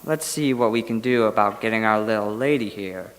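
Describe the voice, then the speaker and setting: A man's voice: lowish voice, brisk, lightly acted. A man playing a sinister mad doctor in a live audio drama, smugly genial, patronizing and casually menacing. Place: indoor hall, live stage performance. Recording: echoey hall, noisy live stage recording.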